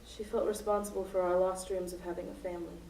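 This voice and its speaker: A woman's voice: on the low side, level tone, fast talker, dark tone, slight background noise, lightly acted. A woman playing a distraught, grieving widow who has taken hostages, in a dramatic, poetic radio play performance. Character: distraught grieving widow, armed hostage-taker telling her love story. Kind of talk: student radio play acting. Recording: roomy distant stage recording with music.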